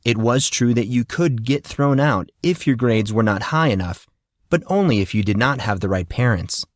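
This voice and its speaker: A man's voice: medium pitch, expressive, normal speed, dry recording, studio quality. A man narrating scripted fiction as an audiobook storyteller.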